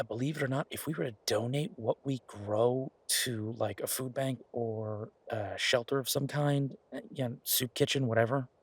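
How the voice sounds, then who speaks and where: A man playing a practical restaurateur boyfriend in a roleplay audio drama, talking casually and thoughtfully with halting pauses, as if explaining a plan to a partner. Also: mid-range voice, slightly rough, dry recording. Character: male werewolf boyfriend, practical restaurateur pitching a farm plan. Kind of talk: roleplay audio drama, naturalistic one-sided conversation.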